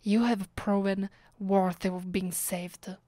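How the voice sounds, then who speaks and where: A woman with an Italian accent playing a bitter, possessive, centuries-old vampire aristocrat in an intimate ASMR roleplay, speaking softly and dramatically, often dropping into a breathy whisper. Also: mid-range voice, level tone, no room sound, lightly acted. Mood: haughty, possessive. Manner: slow, dramatic, imperious.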